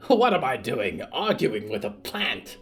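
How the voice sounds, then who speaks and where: A smug, crowing card-player villain, as a male narrator acts him out for an audio drama. He is pompous and quick to take offense, and his pitch leaps around as he talks, with music underneath. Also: very animated, fairly bright tone. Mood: scornful. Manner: pompous, indignant.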